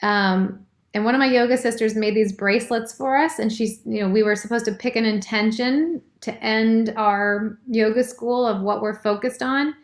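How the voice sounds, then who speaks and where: A woman's voice: on the high side, steady tone, normal speed. A woman guest in a casual conversation. She thinks aloud in a reflective way and uses many fillers. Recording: roomy, echoey sound.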